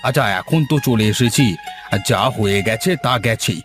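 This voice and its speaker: A man's voice: mid-range voice, moderate pace, natural voice. A man voicing a good-natured village uncle in a cartoon, speaking in a homely, placating, folksy way. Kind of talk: cartoon voice acting. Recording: faint music behind the voice.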